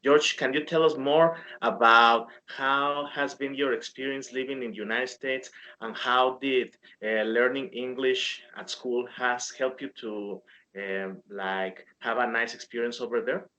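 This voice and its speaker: A man, an English teacher, interviewing his former student in a formal, halting way with frequent pauses. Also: mid-range voice, fairly even intonation, sharp tone. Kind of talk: hosting an interview.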